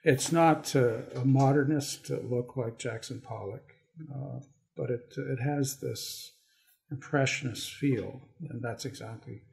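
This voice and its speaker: A Canadian man speaking as a guest poet on an arts podcast in a deliberate, halting manner. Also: mid-range voice, steady tone, creaky, a little mellow, rough recording. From Toronto area, Canada. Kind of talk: casual self-introduction and slow poetry reading. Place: studio.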